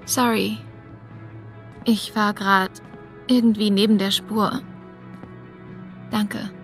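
Hesitant, gentle young woman. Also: medium pitch, steady tone, slow pace, soft background music. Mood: hesitant, friendly. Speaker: video game character. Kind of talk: video game dialogue.

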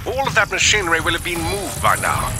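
A calm robot mission guide from a video game. The voice sits high for a male and gives directions in a businesslike way, with music clearly behind it. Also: quick pace. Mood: calm, instructive. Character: male robot ally guiding his commander, calm and businesslike.

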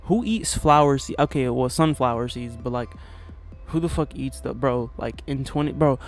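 A man's voice: medium pitch, moderate pace, faint music behind. Slangy young man reacting to hip hop. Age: young adult. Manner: slangy, laid-back, swears often. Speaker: music reaction youtuber. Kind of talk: casual reaction commentary. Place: small bedroom. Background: hip hop track playing.

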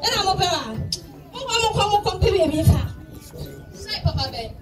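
Woman playing bossy woman, very high-pitched, music behind, outdoor festival drama. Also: lively intonation, moderate pace. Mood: insistent. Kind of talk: live festival stage drama. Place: open courtyard, outdoors. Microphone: handheld PA mic, filmed from afar. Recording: distant outdoor PA, music and crowd.

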